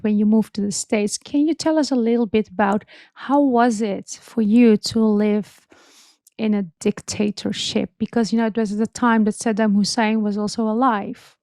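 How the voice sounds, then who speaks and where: Woman host asking interview questions, kinda high voice, dry close mic. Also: fairly even intonation, measured pace, a little mellow, no room sound. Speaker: podcast host.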